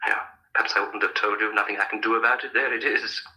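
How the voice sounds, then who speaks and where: An earnest, gallant young man who sounds reassuring and decisive. He speaks briskly in a very bright, forceful voice, through a thin radio drama recording. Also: on the low side, steady tone, low-quality recording. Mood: tender, restrained. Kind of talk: vintage radio drama acting.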